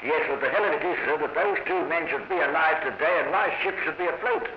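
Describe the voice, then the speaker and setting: A man's voice: a little high, fast. A plain-spoken man, a merchant ship's captain, speaking colloquially in a 1940s documentary film. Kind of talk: 1940s documentary dramatised account. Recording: noisy, echoey, dull 1940s film soundtrack.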